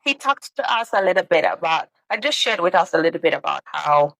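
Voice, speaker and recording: A low-pitched woman from Sierra Leone hosts a podcast in relaxed, expressive conversation, laughing along the way. Her voice sounds dry and close, with no room echo. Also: brisk, creaky. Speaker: podcast host. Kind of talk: casual interview chat. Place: studio in Edmonton, Canada.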